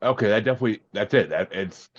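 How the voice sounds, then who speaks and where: A man's voice: on the low side, expressive, fast, dry recording, highs cut off. A man from Massachusetts, a podcast guest, chatting in a laid-back, hesitant way and often repeating his words. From Massachusetts, USA. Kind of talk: casual interview chat.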